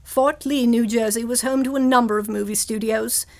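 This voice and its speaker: A woman's voice: a little high, fairly even intonation, moderate pace, lightly acted. A woman playing a genteel, eccentric older stage actress in an old-time radio play, theatrical and florid. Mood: storytelling. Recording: live stage show with music underneath.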